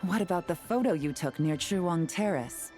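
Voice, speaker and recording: Actress as a cool low-voiced lady investigator in a game, curt, sardonic, music underneath. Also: animated, moderate pace, lightly acted. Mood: accusing. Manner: curt, controlled, interrogating. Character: cool, commanding female investigator, sardonic and composed. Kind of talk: video game voice acting.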